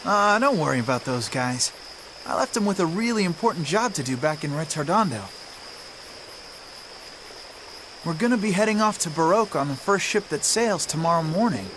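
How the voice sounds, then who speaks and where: A man's voice: on the high side, animated, fairly quick, background noise, close to an everyday voice. An actor playing a friendly, caring, slightly awkward teenage boy hero in a game cutscene, casual and earnest. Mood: upbeat. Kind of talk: video game cutscene acting.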